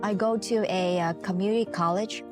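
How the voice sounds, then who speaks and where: A woman from Vietnam giving a careful, slightly halting scripted testimonial. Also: medium pitch, fairly even intonation. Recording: music bed under voice.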